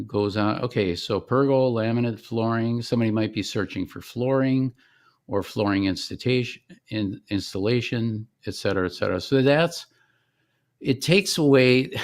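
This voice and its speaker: A man with a low, gravelly voice walks through a software product. His delivery is informal, that of a presenter explaining what he shows on a shared screen. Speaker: software founder giving a product demonstration.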